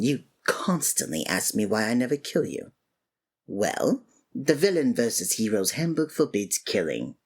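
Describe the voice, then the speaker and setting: A woman with an unusually deep, breathy voice delivers a scripted villain roleplay. Her pitch leaps wildly between low grumbles and high exclamations. The delivery is theatrical and exasperated. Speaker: ASMR voice actor playing a villain.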